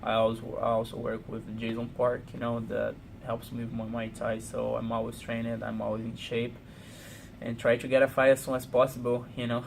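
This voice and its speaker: A man from Brazil with a Portuguese accent, speaking halting English full of "you know" in a casual interview. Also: medium pitch, monotone, creaky, a little hiss or hum. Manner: frequent "you know" fillers, halting non-native English. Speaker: pro fighter.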